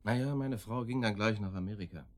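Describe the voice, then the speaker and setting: An actor playing a cynical, controlling middle-aged intruder in a German radio drama, husky and curt, with a condescending, laconic manner. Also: male voice, lowish voice, fairly quick, close to an everyday voice. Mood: laconic, evasive.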